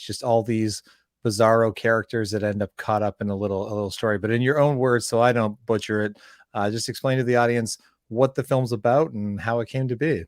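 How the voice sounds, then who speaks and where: A man from Canada hosting a casual interview, speaking haltingly and repeating words as he goes. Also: mid-range voice, no room sound, studio quality. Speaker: show host and interviewer.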